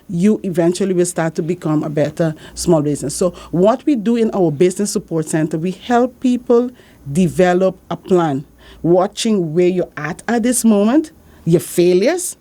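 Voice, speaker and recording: A woman's voice: mid-range voice, mellow. Earnest woman with a Dutch accent. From Saint Martin, Caribbean. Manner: earnest advice, repeats key points. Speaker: business support consultant. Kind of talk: interview answers.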